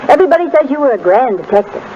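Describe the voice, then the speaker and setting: An actress playing a spirited, teasing wife of a detective, with arch banter in a 1930s radio drama. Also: female voice, high-pitched, very animated, racing. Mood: teasing, peeved. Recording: muffled, noisy 1930s radio broadcast.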